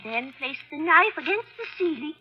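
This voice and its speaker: A woman's voice: sits high, expressive, normal speed. A woman playing a naive, dramatic elderly spinster sleuth in a 1940s radio mystery. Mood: horrified fascination. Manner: dramatic, naive, easily confused. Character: fluttery elderly spinster amateur sleuth, retired history teacher. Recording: muffled old-time radio recording.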